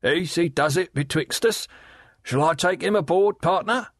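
Man doing a high-pitched river scavenger, sly and leering, wheedling, rough slang, dry close sound. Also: normal speed, fairly bright tone, no room sound.

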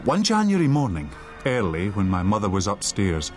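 An actor playing a man who recounts his boyhood, narrating a dramatised audiobook in a sombre, measured way. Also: on the low side, huge pitch swings, soft background music. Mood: ominous.

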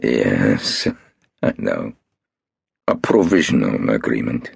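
A man voicing a sick, ruthless old male tycoon who speaks in a cold, measured way. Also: rumbling low, sing-song, vocal fry, slightly rough, dry recording, studio quality, pitched below the performer's own voice, full character voice.

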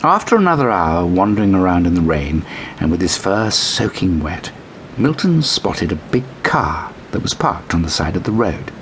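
A man narrating a children's bedtime story in an expressive storytelling style. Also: low voice, moderate pace, a little hiss or hum. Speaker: audiobook narrator.

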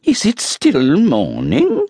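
A man's voice: high voice, huge pitch swings, takes it slowly, vocal fry, warm tone, breathy edge, dry recording, studio quality, voice pushed up, exaggerated voice. Man doing a sly, fussy old landlady. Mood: smug. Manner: sing-song, drawn out and wheedling. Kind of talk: audiobook character voice.

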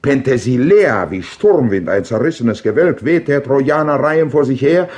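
A man performing a proud Greek king in a classical radio play. He declaims verse in a mid-pitched voice, shaping each phrase deliberately and rhetorically as he reports on war. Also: animated, darkish tone, close to an everyday voice. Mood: vivid, urgent.

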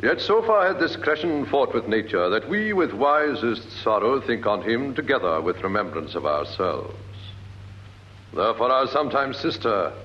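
A British actor playing a smooth, commanding king in a declamatory classical Shakespearean radio drama. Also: medium pitch. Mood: solemn, measured. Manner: stately, declamatory, rhetorical. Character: smooth, commanding new king addressing his council. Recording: dull, noisy vintage radio recording.

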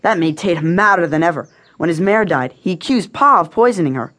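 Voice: mid-range voice, animated, brisk, fairly bright tone, natural voice. An actor voicing a wary, defiant twelve-year-old frontier boy with an American accent and rustic, folksy speech in a Western audio drama. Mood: aggrieved, earnest.

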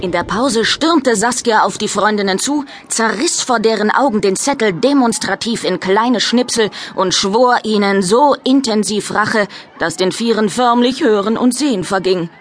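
A woman's voice: medium pitch, moderate pace, slight background noise. A woman reading scripted narration for a children's audiobook.

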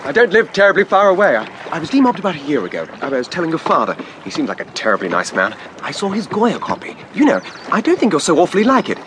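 Excitable high-voiced young English gentleman. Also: quick pace, few pauses, noisy background. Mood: nervous, gushing. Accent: upper-class English. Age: 20s. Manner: nervous, babbling. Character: eager, awkward, gushing young English gentleman in his twenties. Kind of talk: radio drama acting.

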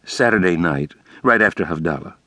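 A man reading a calm, wry rabbi. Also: sits low, brisk, dry recording, full character voice. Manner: calm, mildly amused.

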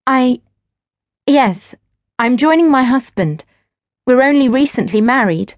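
Woman acting a higher-pitched, flustered young newlywed. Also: lively intonation, a little slow, no room sound, studio quality. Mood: nervous, flustered.

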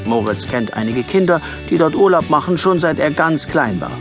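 A man narrating a scripted children's story with lively storytelling delivery. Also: a little high, moderate pace, over music. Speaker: audiobook narrator.

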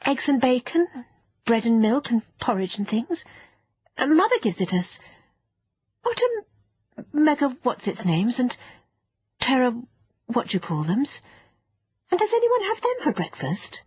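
A woman's voice: sits high, wildly swooping pitch, measured pace, long pauses, husky, no echo, muffled recording, voice pushed up, full character voice. A woman voicing eager, polite young children in a storybook, speaking earnestly and with curiosity. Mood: curious. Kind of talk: children's storybook character voices.

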